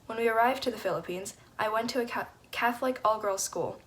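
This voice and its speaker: A teenage girl, a high school student, reading her personal essay aloud in a fluent, steady way. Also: fairly quick. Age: teens.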